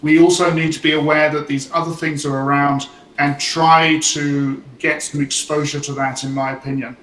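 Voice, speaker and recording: A man's voice: fairly high pitch, little pitch movement, normal speed, a bit bright. A man speaking as a guest on a video call, stumbling and repeating his words. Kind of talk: casual thanks on a video call. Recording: echoey, distant, faint hiss.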